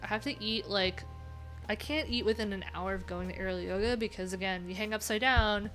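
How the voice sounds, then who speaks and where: A woman's voice: medium pitch, a little fast, a bit bright, faint music behind. A woman live streaming, chatting informally and thinking aloud in a rambling, unfiltered way. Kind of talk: casual live tech stream commentary.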